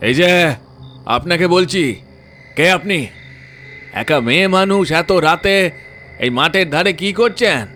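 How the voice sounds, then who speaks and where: A man's voice: a little high, animated, slow, pauses often, bright, forceful tone, slight background noise, pitched above the performer's own voice, heavily acted. A man voicing a village man on night watch who urgently questions a stranger. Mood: urgent, questioning.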